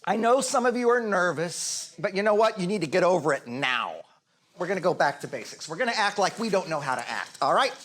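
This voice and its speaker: A man's voice: high voice, big pitch swings, a little fast, fairly bright tone, slight background noise. A man playing a cocky, belittling acting teacher in a naturalistic comedy, talking in a brash, sarcastic, rambling way. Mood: dismissive.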